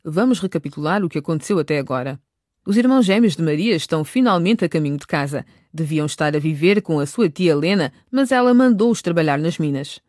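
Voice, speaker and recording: A woman's voice: low voice, animated, fast talker, a little mellow, no room sound, studio quality. A woman narrating a radio drama from a script.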